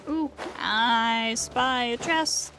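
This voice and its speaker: A woman's voice: on the high side, very slow, bright, forceful tone. A woman doing casual gameplay commentary as a livestreamer, reacting aloud and talking to herself. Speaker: game livestreamer. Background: video game music.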